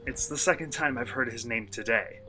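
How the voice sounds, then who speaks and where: A courteous male traveler in an eerie radio drama, played by a man. His mid-pitched voice is measured and bookish, with an inquisitive bent. Music plays clearly behind him. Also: sharp tone, natural voice. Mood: polite, curious. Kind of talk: radio play acting and narration.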